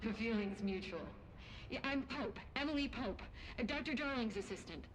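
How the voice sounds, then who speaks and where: A woman playing a brisk, informal young research assistant in a video game. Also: fairly high pitch, expressive, slight background noise, natural voice, poor audio. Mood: friendly.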